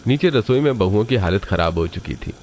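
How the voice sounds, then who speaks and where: A man's voice: lowish voice, lively intonation, a little fast, darkish tone, a little hiss or hum. A man reading scripted story narration.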